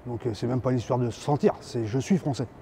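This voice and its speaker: A man's voice: medium pitch, fast talker, vocal fry, warm tone, slight background noise. A French man stating his position firmly and emphatically in an interview. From France. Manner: firm, emphatic.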